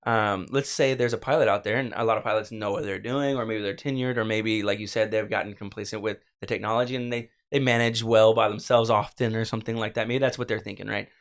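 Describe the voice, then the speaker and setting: Guy with a lowish voice hosting a podcast, casual, kinda works out his questions as he goes. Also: steady tone, quick pace, hardly any pauses. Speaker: podcast host, interviewer. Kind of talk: casual interview chat.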